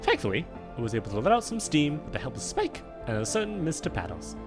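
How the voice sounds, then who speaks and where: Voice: mid-range voice, wildly swooping pitch, fast talker, music in the background. A performer voicing an exasperated, sarcastic, scholarly pony in a parody cartoon, dry, irritable and wordy. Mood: wry narrating. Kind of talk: fan parody cartoon dub.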